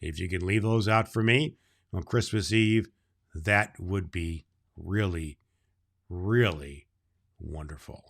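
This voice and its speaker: Slow, gentle man reading to children. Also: deep voice, creaky. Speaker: Santa Claus performer and storyteller. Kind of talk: reading a children's book aloud.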